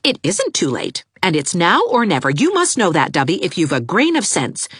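A woman doing a brisk, sharp-tongued young heroine. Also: lowish voice, wildly swooping pitch, husky, no echo, clean studio sound, voice pushed up, full character voice. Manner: brisk, sarcastic, commanding.

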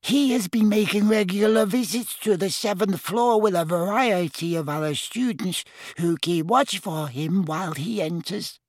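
A man voicing a squeaky, anxious, loyal male house elf. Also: moderate pace, dry recording, clean studio sound. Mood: earnest. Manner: earnest, eager to please.

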